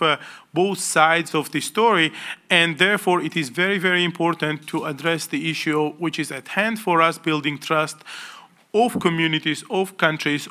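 A man's voice: on the high side, sharp tone. A middle-aged man opening a conference panel as co-moderator, hesitant and full of fillers. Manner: hesitant, many fillers and restarts. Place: conference panel stage indoors.

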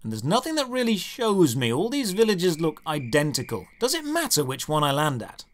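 Man, higher-pitched voice, lively intonation, sardonic scripted narration, dry game reviewer. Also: moderate pace. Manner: sardonic, deadpan.

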